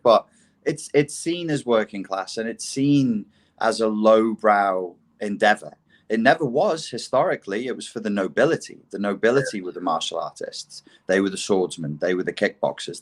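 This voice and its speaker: Sweary man in blunt casual chat. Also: on the low side. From England. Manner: blunt, sweary and self-mocking.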